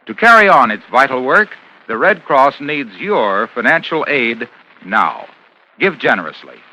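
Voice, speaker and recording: A man's voice: medium pitch, bright, forceful tone, rough recording. An American man reading scripted commercials as a 1950s radio announcer, with an emphatic salesman delivery on a vintage broadcast recording.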